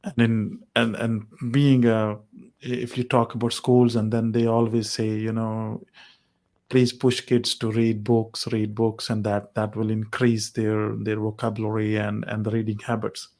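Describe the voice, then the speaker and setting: A man's voice: fairly low pitch, completely flat delivery, measured pace, darkish tone. A man hosting a tech podcast, who backs the guest up with constant short "mm-hmm"s and asks long, rambling questions. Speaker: podcast host. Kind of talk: interview questions.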